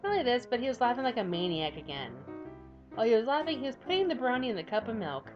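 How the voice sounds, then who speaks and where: A woman's voice: a little high, sing-song, quick pace, highs cut off, over music. Woman reading a story aloud, earnest. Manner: earnest, slightly stumbling reading. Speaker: visual novel reader. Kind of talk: storybook-style narration.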